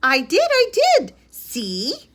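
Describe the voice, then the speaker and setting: A woman's voice: high voice, huge pitch swings, extremely slow, a bit bright, pitched above the performer's own voice, exaggerated voice. A woman voicing a cheerful, earnest, literal-minded housemaid who is eager to please in a children's storybook. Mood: innocent, eager.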